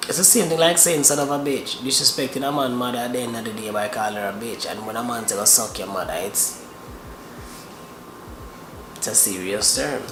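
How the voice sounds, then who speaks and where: A man's voice: medium pitch, fairly quick, echoey room, background noise. A man from Jamaica with a Jamaican accent, explaining his language on a casual couple vlog and repeating himself while searching for words. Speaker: co-host explaining Jamaican phrases.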